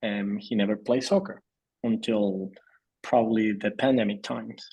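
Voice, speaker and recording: A man's voice: fairly low pitch, gravelly, a little mellow, slightly rough, poor audio. A man in his thirties from Venezuela, a native Spanish speaker, introducing himself in a friendly, hesitant way with many uhs. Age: 30s. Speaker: podcast guest.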